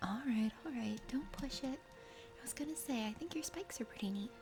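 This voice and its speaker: A woman's voice: on the high side, lively intonation, a little fast, over music, pitched below the performer's own voice. A woman voicing a plucky, easily flustered young heroine knight from a video game. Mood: teasing, bold. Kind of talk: video game character read-aloud, ASMR.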